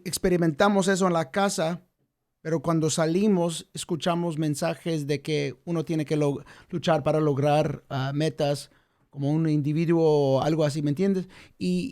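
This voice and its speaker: Guy hosting a podcast, kinda high voice, long rambly questions, lots of mm-hmms. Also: unhurried, a little mellow. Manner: affirming listener, rambling questions. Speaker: podcast host. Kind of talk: casual interview.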